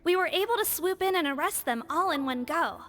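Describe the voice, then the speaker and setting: A woman's voice: very high voice, animated, brisk, fairly bright tone. A woman voicing a polite nonhuman female officer who explains things carefully, from a video game. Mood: informative. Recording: game audio with faint music.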